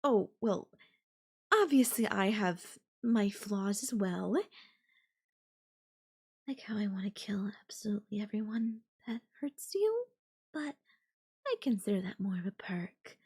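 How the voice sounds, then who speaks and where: Slow-talking, possessive yandere girlfriend acted by a woman. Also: mid-range voice, very animated, a little mellow, husky, exaggerated voice. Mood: quietly menacing. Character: possessive, doting long-distance yandere girlfriend, young woman. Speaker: voice actor in audio roleplay. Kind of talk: intimate girlfriend audio roleplay, one-sided voice call.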